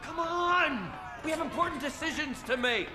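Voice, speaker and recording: An actor voicing an indignant, self-important supervillain in a comic video game. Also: male voice, extremely high pitch, expressive, moderate pace, over loud music. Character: indignant, shrill male supervillain. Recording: echoey with loud background noise.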